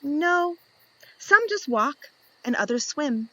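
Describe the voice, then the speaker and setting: A woman reads a children's picture book aloud, taking her time over each page. Her voice swoops up and down with lively expression. She plays with the story and keeps asking her young listeners questions. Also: high voice, slow, no room sound, rough recording.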